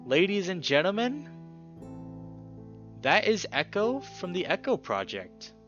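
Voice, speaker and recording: A man giving casual, emphatic game commentary as a let's play host, pausing dramatically and swearing mildly. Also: high voice, wildly swooping pitch, a bit bright, highs cut off. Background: guitar music.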